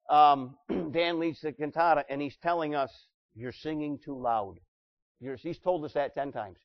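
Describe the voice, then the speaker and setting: A male pastor delivers a sermon from the pulpit in a fairly high voice. He talks loud, then drops low. The church recording sounds dull and lo-fi. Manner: loud preaching voice with wide swings in volume. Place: church sanctuary.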